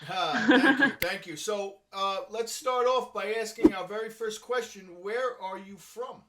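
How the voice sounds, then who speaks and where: A man from New York hosts a casual interview on a video call. His voice sits a bit high for a man, and he drops easy jokes between questions. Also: a little slow, heavy background noise. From New York, USA. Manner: friendly, joking small talk. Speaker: interview host.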